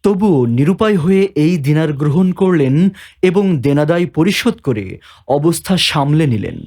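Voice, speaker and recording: A man's voice: on the high side, lively intonation, unhurried, darkish tone. A man narrating a scripted story as its narrator. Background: background music.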